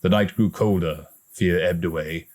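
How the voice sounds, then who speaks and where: A man reading a story aloud as an audiobook narrator, in a dramatic, expressive storytelling manner. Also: low voice, normal speed. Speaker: audiobook reader.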